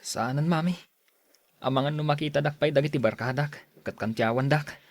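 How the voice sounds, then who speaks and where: A man's voice: fairly high pitch, fairly quick, no echo, close to an everyday voice. A man playing an aggrieved but dutiful young son in an audio drama. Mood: resentful, upset.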